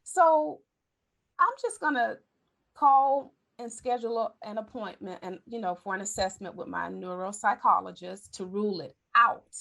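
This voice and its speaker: A woman's voice: medium pitch, moderate pace, gravelly, a bit bright. A woman in her forties hosting a podcast, talking candidly in a chatty way and correcting herself as she goes. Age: 40s. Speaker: podcast host. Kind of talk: casual conversation.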